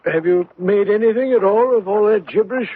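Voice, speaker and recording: Man acting high-voiced fussy gentleman companion. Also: a little fast, slight background noise, close to an everyday voice. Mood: fussy, eager. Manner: fluttery exclamations, chuckling, formal old-fashioned phrasing. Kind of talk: radio mystery drama acting. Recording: muffled, narrowband old radio recording.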